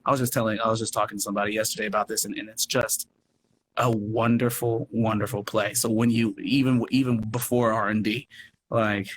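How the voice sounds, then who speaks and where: Chatty low-voiced man, noisy background. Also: fairly quick. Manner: chatty, expressive, thinking aloud. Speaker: radio play producer and guest. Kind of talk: casual interview chat. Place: remote live video chat.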